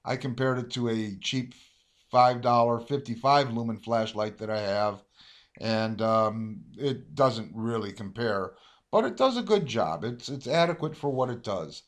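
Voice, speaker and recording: A man's voice: medium pitch, unhurried. An American man chatting casually as he reviews a product, rambling and hesitant as he thinks aloud. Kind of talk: casual unboxing chat. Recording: roomy, dull lo-fi amateur audio, faint hiss.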